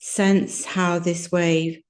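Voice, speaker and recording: Slow, low-voiced woman guiding relaxation. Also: flat delivery. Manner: gentle, soothing, unhurried with long pauses. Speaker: yoga nidra teacher. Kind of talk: guided relaxation meditation. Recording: roomy, echoing sound.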